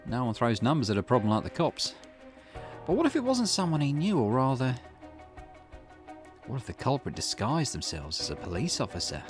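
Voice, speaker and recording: A man's voice: medium pitch, big pitch swings, fairly quick, over music, natural voice. A man voicing a shrewd, self-assured sleuth in a visual novel, explaining with teasing confidence. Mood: probing.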